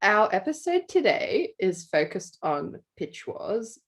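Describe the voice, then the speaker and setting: A woman's voice: mid-range voice, very animated, slow pace. A young adult woman, co-hosting a writing podcast in casual chat, laughing often and telling stories with animation. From Australia. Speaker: podcast co-host.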